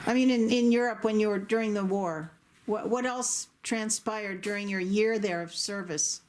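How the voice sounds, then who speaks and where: A woman interviewing an elderly veteran, asking gentle follow-up questions. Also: medium pitch, normal speed, a little hiss or hum. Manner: gentle prompting questions, many short acknowledgments. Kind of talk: oral history interview. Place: library interview room.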